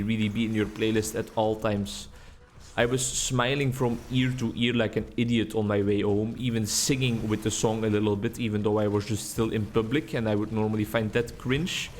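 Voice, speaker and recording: A man's voice: on the low side, a little hiss or hum. A Dutch man, a native Dutch speaker, giving rambling, chatty gameplay commentary in English on a desk mic. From Netherlands. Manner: rambling, chatty, self-deprecating. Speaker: gaming YouTuber.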